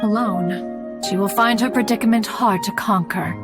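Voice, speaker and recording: A woman's voice: medium pitch, moderate pace, close to an everyday voice. A woman as a lofty ancient adeptus, music behind. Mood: solemn, concerned. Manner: archaic, formal, lofty speech. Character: haughty, talkative, fond ancient female mountain adeptus. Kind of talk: video game voice acting.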